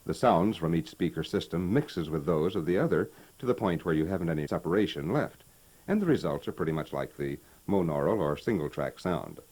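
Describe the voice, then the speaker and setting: An actor playing a patient, knowledgeable American hi-fi expert in a scripted, folksy instructional conversation from 1960. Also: male voice, deep voice, lively intonation, brisk, a little mellow, breathy edge. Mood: instructive. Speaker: presenter explaining stereo equipment. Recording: vintage 1960 promotional tape recording.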